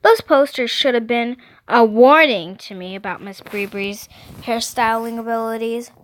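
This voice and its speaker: A woman's voice: a little high, unhurried, lightly acted. A woman voicing an anxious young teenage girl who reads her diary in a melodramatic, emphatic audiobook style. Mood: wry. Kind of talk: first-person audiobook diary narration.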